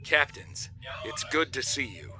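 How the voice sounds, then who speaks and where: A man's voice: medium pitch, a little slow, pushed and bright, soft background music. A man playing a businesslike starship captain in a science fiction audio drama, matter-of-fact in delivery.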